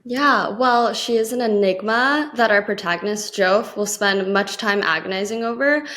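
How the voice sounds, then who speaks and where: A woman being interviewed on a podcast, answering in a measured, explanatory way. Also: medium pitch, fairly bright tone.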